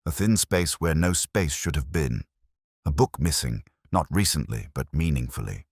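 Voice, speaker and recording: A man narrating a first-person detective mystery in a measured, literary, brooding manner. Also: very deep voice, animated, husky, no room sound, clean studio sound.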